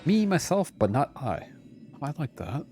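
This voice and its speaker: Animated male streamer with a lightly high voice. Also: normal speed. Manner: playful, rambling, chatty. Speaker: live streamer. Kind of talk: casual gameplay commentary. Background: game music.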